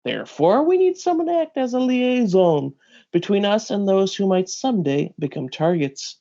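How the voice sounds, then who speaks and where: A man reading a big, seasoned pirate bounty hunter from a comic, wry, measured and explanatory. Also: high voice, sing-song, creaky, mellow, muffled recording, voice pushed up, heavily acted.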